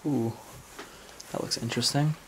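A man's voice: mid-range voice, steady tone, normal speed, fairly bright tone, slight background noise, low-quality recording. An American man giving casual, unscripted vlog commentary, musing aloud as he explores indoors. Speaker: urban explorer host. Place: indoors, rooms of an old house.

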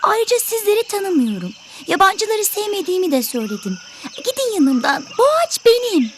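A woman's voice: very high voice, big pitch swings, fairly quick, a little hiss or hum. A woman voicing a selfish, grumpy bird in an educational cartoon, speaking in a haughty, brusque, scolding manner. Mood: irritated, dismissive.

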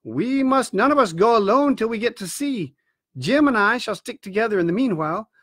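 A man reading a storybook aloud, voicing a cautious, level-headed country doctor who speaks firmly and with measured warning. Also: sits high, expressive, fairly bright tone, no echo, studio quality.

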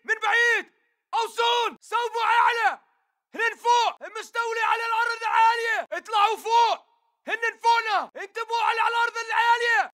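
An actor voicing a fierce, defiant militant fighter in a shooter game, with a Levantine Arabic accent and urgent, clipped callouts. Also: male voice, very high-pitched, sharp tone. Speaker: enemy soldier voice in a shooter game. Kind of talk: combat battle chatter, shouted barks.

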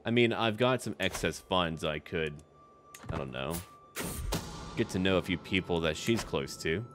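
Low-voiced man commentating a strategy game. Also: animated, moderate pace. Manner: muses aloud in short clipped remarks. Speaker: gaming YouTuber. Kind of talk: casual game commentary with light role-play. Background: background music.

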